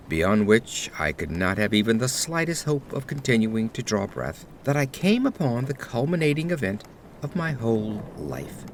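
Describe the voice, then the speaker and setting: A man narrates a gothic horror tale with a widely moving, expressive pitch. His delivery is solemn and deliberate, in an old-fashioned literary manner. Faint atmospheric ambience sits behind his voice. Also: slight background noise. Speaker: audio drama narrator. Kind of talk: dramatic scripted narration of gothic horror story.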